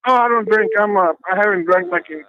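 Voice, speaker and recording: A man in his fifties from Texas, calling in from his car while driving, speaking politely in halting sentences with many restarts. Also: high-pitched, expressive, vocal fry, no room sound. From Texas, USA. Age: 50s. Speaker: caller. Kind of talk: phone call-in. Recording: dull phone line.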